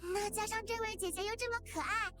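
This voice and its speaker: Super high kiddie voice, cheery little game sidekick, loud music behind. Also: female voice, fairly even intonation, fast talker. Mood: cheerful, admiring. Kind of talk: visual novel voice acting.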